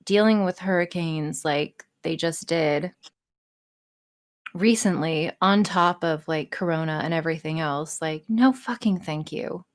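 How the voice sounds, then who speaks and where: A woman with a fairly low voice talks in loose, casual podcast banter. She jokes as she goes and swears freely. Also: measured pace. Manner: frequent swearing, joking. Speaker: podcast guest, audio performer.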